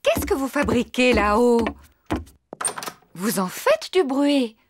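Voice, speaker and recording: A woman voicing a sensible, caring mummy pig in a French-dubbed preschool cartoon, motherly and gently firm. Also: on the high side, huge pitch swings, slow pace, exaggerated voice. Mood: gently scolding. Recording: clear background noise.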